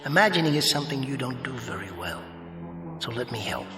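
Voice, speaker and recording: An actor playing a calm, sardonic, otherworldly judge in a radio drama, speaking in measured, formal, mocking phrases through heavy reverb. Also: male voice, mid-range voice. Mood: knowing, warning. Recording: long echoing reverb, music behind.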